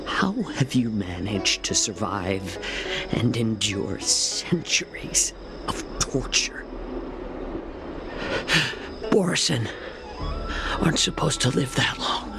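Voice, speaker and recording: A man playing a calm, shrewd healer held hostage in a video game, speaking in a measured, guarded way. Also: on the low side, over music. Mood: probing, subdued. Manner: measured, guarded, quietly bargaining.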